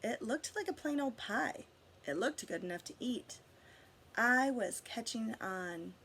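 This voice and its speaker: A woman reading a picture book aloud to children, with dramatic emphasis, drawn-out words and asides to her listeners. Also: on the high side, expressive, a bit bright, slight background noise. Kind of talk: storybook read-aloud with asides and questions to listeners.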